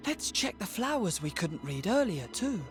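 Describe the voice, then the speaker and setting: A man's voice: sits high, big pitch swings, moderate pace, faint music behind. A man voicing a polite, supportive warrior companion in a video game, with courteous phrasing. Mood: eager. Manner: courteous, well-mannered phrasing. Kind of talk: video game cutscene acting.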